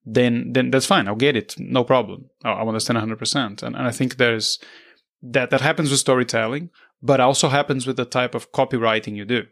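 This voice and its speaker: A podcast host whose native tongue is Portuguese, a man of middling pitch talking things through in an easy back-and-forth. He often doubles back and restarts his sentences as he shapes a question. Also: fairly even intonation, vocal fry, a bit bright. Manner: thoughtful, halting, with frequent restarts. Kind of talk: conversational interview.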